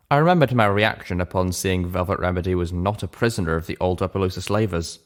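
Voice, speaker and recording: A man reading a first-person story aloud as scripted narration. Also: low voice. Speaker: reader of fan fiction show.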